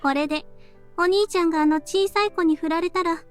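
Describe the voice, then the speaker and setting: A woman's voice: extremely high pitch, steady tone, rapid-fire. A woman voice-acting a sly, teasing and perceptive younger sister in a Japanese visual novel. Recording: game audio with music behind.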